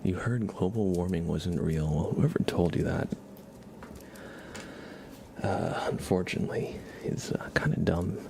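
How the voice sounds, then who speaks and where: A man hosting a casual ASMR livestream, chatting to viewers in a soft, breathy, whispery voice with an unhurried, rambling manner. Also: low voice, noisy background. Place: room at home with a window.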